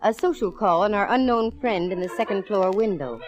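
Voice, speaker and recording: An actress playing a confident, nosy private eye in a breezy, slangy 1940s radio style. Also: female voice, medium pitch, a little fast, natural voice. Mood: wry narrating. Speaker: lead actress in a radio detective serial. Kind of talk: 1940s radio acting, hard-boiled narration and dialogue. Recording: vintage radio show, music behind.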